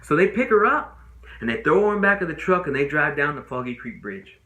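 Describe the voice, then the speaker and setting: A man acting a young trial lawyer delivering an earnest, pleading closing argument as a dramatic monologue, with deliberate, emphatic phrasing and pauses. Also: a little high, sing-song, bright, forceful tone. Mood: grave, anguished. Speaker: amateur actor.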